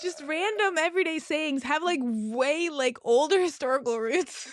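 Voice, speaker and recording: A woman co-hosting a podcast, curious, chatting casually with many "like" fillers. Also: high-pitched. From outside the UK. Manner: curious, quick reactions, "like" fillers. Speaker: podcast co-host.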